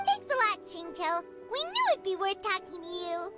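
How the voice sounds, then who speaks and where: A woman voicing a tiny, excitable fairy companion who talks about herself in the third person, in video game dialogue. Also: very high voice, normal speed, full character voice. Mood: eager. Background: loud game music.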